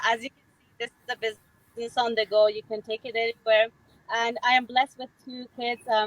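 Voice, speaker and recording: A woman with a somewhat high voice, calling in to a panel from a moving car. She is cheerful and casual, and laughs easily as she introduces herself. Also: a bit bright, rough recording. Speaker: panel host. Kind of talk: casual webinar panel talk. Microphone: video call.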